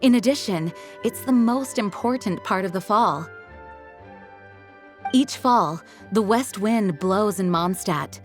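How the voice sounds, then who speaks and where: A composed woman knight leader, voiced by an actress, explains things in a calm, formal, measured game-cutscene voice. Her pitch sits mid-range, with faint music behind her. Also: lightly acted. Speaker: acting grand master.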